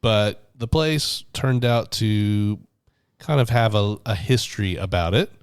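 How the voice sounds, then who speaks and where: A man's voice: lowish voice, slow pace, clean tone, no echo, clean studio sound. A man in his forties, getting over the flu, co-hosting a faith podcast and telling a story thoughtfully. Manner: storytelling, thoughtful, with frequent restarts. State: recovering from flu, voice deeper than usual. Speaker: podcast co-host, minister. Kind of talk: casual faith podcast chat.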